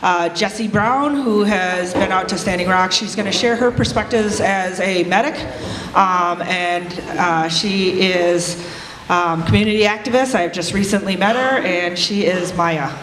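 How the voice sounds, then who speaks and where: A woman hosting an event, introducing the next speaker slowly and haltingly, with many fillers. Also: medium pitch. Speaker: event host. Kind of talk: hesitant introductions. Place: indoor community gathering. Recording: reverberant hall from a crowd. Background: crowd noise.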